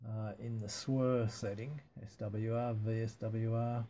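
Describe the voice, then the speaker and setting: Male hobbyist demonstrator: mellow, very even delivery, halting and matter-of-fact, noisy recording. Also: fairly low pitch. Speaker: amateur radio hobbyist demonstrating antenna tuning. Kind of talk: casual hands-on demonstration, narrated live.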